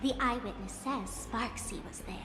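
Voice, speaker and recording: A woman's voice: fairly high pitch, normal speed, lightly acted. A woman voicing a playful, sly, teasing female trickster in a video game, with theatrical delivery. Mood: sly, explaining. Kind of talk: video game character acting. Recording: echoey hall reverb, loud music behind. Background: music.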